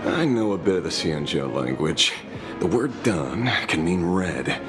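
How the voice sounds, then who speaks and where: A deadpan young man from a video game. He speaks slowly in a very low voice. Game music plays under him.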